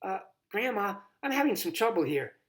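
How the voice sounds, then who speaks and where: A man voicing a defensive, headstrong young girl in a storybook, quick to protest. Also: sits high, sing-song, pitched above the performer's own voice. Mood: flustered.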